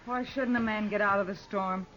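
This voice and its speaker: A woman's voice: mid-range voice, steady tone, normal speed, fairly bright tone, natural voice. An actress playing a guarded, defiant young frontier woman, speaking curtly in a radio western. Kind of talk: 1950s radio western acting. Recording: muffled old radio recording, faint noise.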